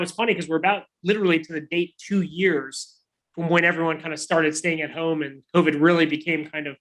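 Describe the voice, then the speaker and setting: The quick, fairly high-voiced man you would hear hosting a chatty online interview show over morning coffee. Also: a bit bright, low-quality recording.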